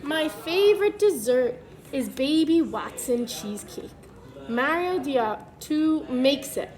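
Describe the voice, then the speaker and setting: A woman reading a picture book aloud to young children, pausing to explain things simply as a preschool teacher would. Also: high voice, expressive, darkish tone.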